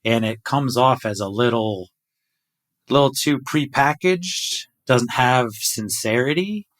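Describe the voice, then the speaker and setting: A middle-aged man hosts a podcast conversation in an earnest, reflective tone. His voice sits at an ordinary pitch and he talks casually with his guest. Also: slow, a bit bright. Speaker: podcast host.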